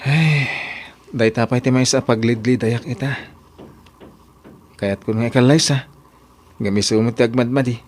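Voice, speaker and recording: A man's voice: mid-range voice, moderate pace, long pauses, slight background noise, natural voice. Male actor as earnest young working man, Ilocano accent, reserved, mid-range voice, radio drama delivery. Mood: weary, confiding.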